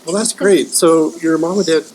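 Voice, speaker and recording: A man's voice: fairly high pitch, steady tone, normal speed, a little mellow, a little hiss or hum, low-quality recording. A man hosting a casual interview in a coffee shop, friendly and curious, with many "uh"s between phrases. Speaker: host, tea company owner.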